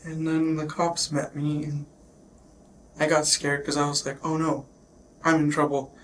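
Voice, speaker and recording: Man, flat and halting, shaken, getting over illness, police interview, noisy bodycam audio. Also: fairly high pitch. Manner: shaken, halting, repeats himself. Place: police questioning, recorded on bodycam.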